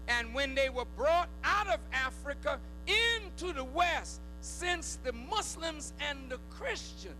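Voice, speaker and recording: High-pitched, deliberate male preacher over music. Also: pushed and bright, poor audio. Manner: emphatic, pauses between short phrases, prods listeners with questions. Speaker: preacher addressing a congregation. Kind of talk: fiery oratory, sermon-like public speech.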